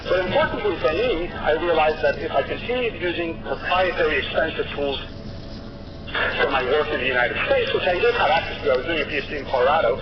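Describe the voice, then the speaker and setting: A man's voice: on the high side, expressive, a little fast. A middle-aged man from Colombia giving a conference talk, earnest, with frequent restarts and fillers. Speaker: conference speaker. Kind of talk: keynote talk. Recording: dull, noisy recording.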